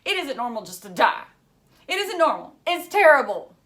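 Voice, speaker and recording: A woman's voice: high-pitched, sing-song, moderate pace, fairly bright tone, distant mic, pitched above the performer's own voice. A woman reading a storybook as an anxious, dramatic young schoolgirl, with emphatic, alarmed delivery. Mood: insistent, horrified.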